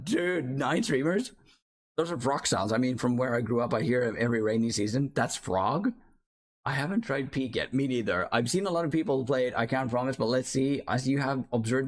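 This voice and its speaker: Guy streaming a game, mid-pitched, chatty, reads chat and apologizes a lot. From Finland. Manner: chatty, apologetic, thinks aloud. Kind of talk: live gameplay commentary, reading chat aloud.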